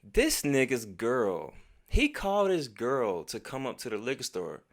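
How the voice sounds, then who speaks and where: A man's voice: medium pitch, a little slow. An American man telling a casual personal story in heavy slang and profanity, acting out bits of dialogue as he goes. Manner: profane, slangy, animated retelling. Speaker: storytelling vlogger.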